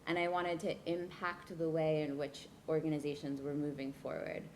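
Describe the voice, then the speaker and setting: A woman in her thirties from New Jersey, telling her life story to a leadership workshop in an earnest way, with pauses and fillers. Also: low-pitched, steady tone, a little hiss or hum. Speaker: guest speaker. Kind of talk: personal storytelling talk. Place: event room.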